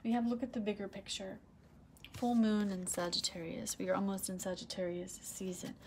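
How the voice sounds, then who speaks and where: A woman giving a casual tarot reading to camera, soft-spoken with a low, husky voice that is starting to give out, often asking "okay?"; recorded indoors on a faulty microphone. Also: flat delivery, brisk, noisy background. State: losing her voice, clearing throat. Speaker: tarot reader. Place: indoor room, red curtains and candles on a table. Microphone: broken microphone, quiet.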